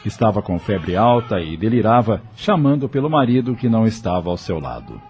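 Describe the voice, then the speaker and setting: Deep, mellow male storyteller. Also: big pitch swings, brisk, faint music behind. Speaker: narrator. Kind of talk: scripted audio novel narration.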